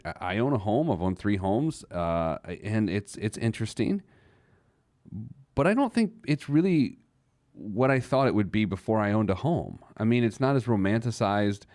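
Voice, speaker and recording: A man's voice: lowish voice, a little mellow, no room sound, studio quality. An American man in his late thirties hosting a casual radio show on his own, rambling along in a wry, conversational way. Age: late 30s. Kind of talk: casual radio monologue on personal finance.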